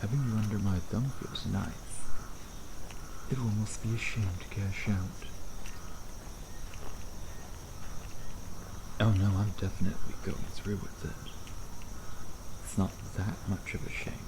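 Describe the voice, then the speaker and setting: Man acting a gravelly, low-voiced smug male vampire. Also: steady tone, moderate pace, noisy background, lightly acted. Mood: smug, hungry. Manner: teasing, sardonic, drawling. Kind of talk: intimate ASMR roleplay acting.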